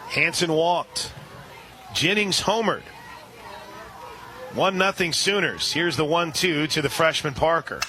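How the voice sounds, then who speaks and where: A man calling a softball game live on radio, steady between pitches and surging loud and high on big plays. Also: slow, long pauses. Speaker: radio play-by-play announcer.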